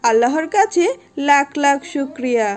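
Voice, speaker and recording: A caring mother fox, voiced by a woman for a cartoon, high in pitch and earnest. Soft music plays behind. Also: moderate pace, natural voice. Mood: grateful. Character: devout, caring mother fox, steadfast and protective. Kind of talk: children's cartoon voice acting.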